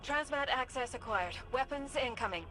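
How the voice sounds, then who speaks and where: High-voiced, brisk mission handler woman. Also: animated, bright, forceful tone, faint music behind. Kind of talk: game mission radio briefing.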